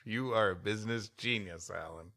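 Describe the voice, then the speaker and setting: A man's voice: fairly low pitch, moderate pace, pushed and bright. A man co-hosting a comedy podcast, mixing casual chat with reading a story aloud and joking along dryly. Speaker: podcast co-host and story reader.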